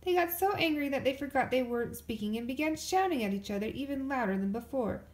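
A woman reading a children's picture book aloud as an expressive storyteller. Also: high voice, fast talker. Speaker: storybook reader.

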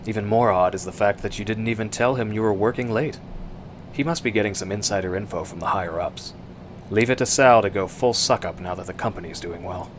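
A man narrating a suspense radio drama in the second person, with measured, hushed storytelling. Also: fairly low pitch, a little hiss or hum, natural voice.

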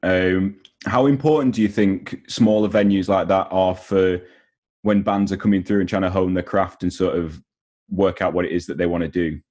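A man's voice: low-pitched, steady tone, normal speed, a little mellow. A young man hosting a casual interview over a video call from a room at home. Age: young adult. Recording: video call, music underneath.

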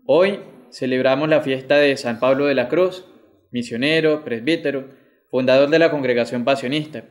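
A young man reading a prepared text aloud at Mass in a formal, measured manner, at a lectern microphone. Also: mid-range voice. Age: young adult. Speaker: liturgical reader at Mass. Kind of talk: scripted reading. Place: small indoor chapel. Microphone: microphone on lectern stand.

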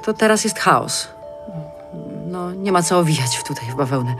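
A woman's voice: on the low side, lively intonation, moderate pace, music in the background, close to an everyday voice. An actress in her forties playing a harried, irritable, defensive mother in a naturalistic audio drama, hesitant and clipped. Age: 40s.

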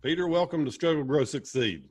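A man in his forties hosting a podcast interview over a video call, upbeat in his intro and relaxed when asking questions. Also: on the high side, a little fast, faint music behind. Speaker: podcast host. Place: small indoor room.

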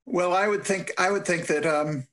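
An older man moderating an online forum from home on a video call, measured and with dry self-deprecating asides. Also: sits high, fairly bright tone. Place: room at home. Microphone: webcam on a video call.

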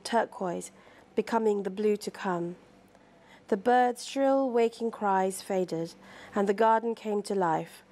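A middle-aged woman with a London British accent, an author speaking in a measured, composed way as a guest. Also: medium pitch, darkish tone. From born in Sri Lanka, grew up in London. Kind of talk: reading aloud from a novel, then interview talk. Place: indoor room, seated.

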